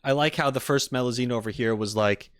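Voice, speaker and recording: A man hosting a livestream, chatting casually and playfully with viewers, rambling and often swinging up into high-pitched emphasis. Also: fairly quick, clean tone, no echo, clean studio sound. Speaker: streamer and reaction video host.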